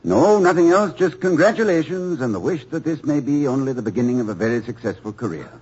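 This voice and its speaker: An actor playing a genial, self-deprecating male professor in a 1950s radio drama, speaking with a husky voice. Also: mid-range voice, lively intonation, quick pace, darkish tone. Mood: wry. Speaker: college professor. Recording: dull lo-fi old radio broadcast.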